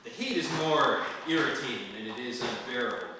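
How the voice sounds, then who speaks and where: An actor playing a wry, smooth-talking hardboiled private detective in the manner of an old radio serial. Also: male voice, mid-range voice, lively intonation, brisk, close to an everyday voice. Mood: wry narration. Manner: wry, flirtatious, world-weary patter. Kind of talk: 1940s detective radio serial acting, noir narration. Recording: roomy distant hall recording, faint noise.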